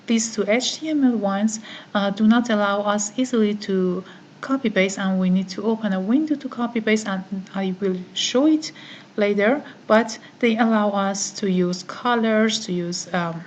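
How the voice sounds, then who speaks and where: Slow, methodical woman, Farsi native speaker. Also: mid-range voice, a little hiss or hum. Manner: slow, methodical, with frequent "uh" pauses. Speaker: online course instructor. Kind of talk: screen-recorded software tutorial.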